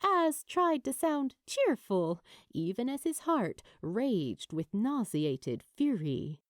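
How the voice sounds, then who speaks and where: A woman narrating fiction as a dramatic storyteller, in a measured, deliberate way with emphatic pauses. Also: a little high, big pitch swings, no echo, clean studio sound. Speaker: audiobook narrator.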